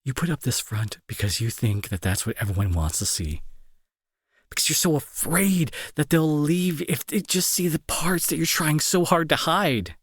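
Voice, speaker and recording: A young man playing a gruff school bully who is fearful and protective underneath, in an intense, halting, pleading audio roleplay style. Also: fairly high pitch, animated, dry recording, clean studio sound. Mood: earnest, pressing. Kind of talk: dramatic audio roleplay acting.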